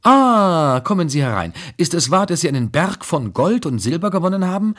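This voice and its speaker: A man's voice: fairly high pitch, big pitch swings, moderate pace, a bit bright, voice pushed up. A man voicing a chattering, flirty French courtesan. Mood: coquettish. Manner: chattering, mixing in French phrases. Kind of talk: audiobook character voice.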